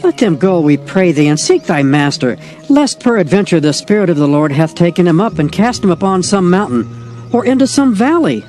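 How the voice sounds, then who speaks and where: A man's voice: sits high, big pitch swings, fairly quick, a little mellow, soft background music. A man voicing a band of awed young disciples of the prophets, speaking eagerly.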